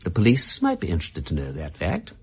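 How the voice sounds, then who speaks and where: A sly gentleman adventurer in a British radio drama. His husky voice swoops extravagantly as he mocks and teases, savouring each ornate phrase with languid relish. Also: sits low, wildly swooping pitch, brisk, exaggerated voice. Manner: arch, languid, teasing, flourishing turns of phrase. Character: witty, mocking gentleman adventurer posing as a shady informer.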